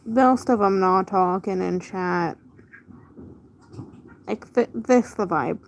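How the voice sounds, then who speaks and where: A woman's voice: medium pitch, slow, vocal fry, a little hiss or hum. A woman chatting casually on a livestream as she works, in a laid-back way with trailing half-thoughts. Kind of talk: casual livestream chat while drawing.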